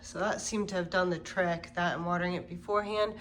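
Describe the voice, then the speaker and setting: A woman's voice: on the low side, quick pace, slight background noise. A woman hosting a plant channel, congested from a cold, chatting casually as she walks through her home. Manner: relaxed, chatty, walking through rooms with fillers. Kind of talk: casual home-tour vlog. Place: home, moving between rooms.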